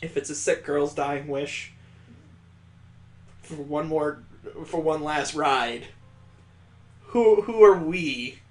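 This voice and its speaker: Male let's play host, slightly high voice, theatrical read-aloud style with incredulous, wry asides. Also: slow pace, long pauses. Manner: incredulous, joking asides between earnest narration. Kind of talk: dramatic read-aloud with reactive commentary.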